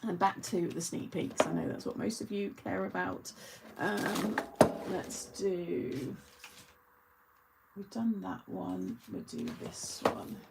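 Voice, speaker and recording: A woman's voice: lowish voice, expressive, slow, vocal fry, very noisy. A middle-aged woman hosting a craft channel, chatting casually and rambling through asides with frequent laughter. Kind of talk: casual live chat.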